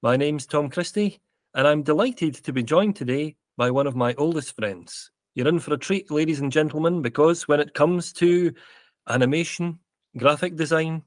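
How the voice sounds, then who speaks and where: A man hosting a podcast, speaking in a measured, formal way. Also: a little high, no echo, studio quality. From Scotland. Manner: measured and formal, with a gentle introduction. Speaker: podcast host. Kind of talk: podcast interview.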